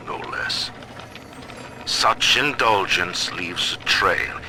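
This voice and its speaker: A man's voice: lowish voice, sharp tone, slight background noise, exaggerated voice, low-quality recording. A man playing a stern, proud middle-aged tycoon who rules his own city in a video game, speaking his audio diaries in pompous, menacing, formal oratory. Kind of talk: dramatic recorded diary monologue, formal oratory.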